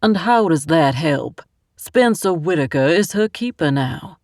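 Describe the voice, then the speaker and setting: A man's voice: fairly high pitch, lively intonation, unhurried, darkish tone, no room sound, studio quality. Southern male accomplice, thick drawl, uneasy, voiced by a woman narrator. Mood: wary. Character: nervous Southern man, accomplice.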